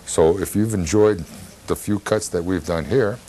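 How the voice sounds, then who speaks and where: A man, hosting a casual talk show in a halting, rambling way. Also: deep voice, mellow. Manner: halting, rambling, repeats words. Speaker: television host. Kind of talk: casual interview chat. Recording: faint background noise, TV studio.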